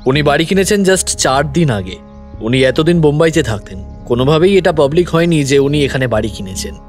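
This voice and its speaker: A man's voice: a little high, lively intonation, brisk, soft background music, natural voice. A man playing an inquisitive, insistent investigator in an audio detective drama. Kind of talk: acted dialogue with background music.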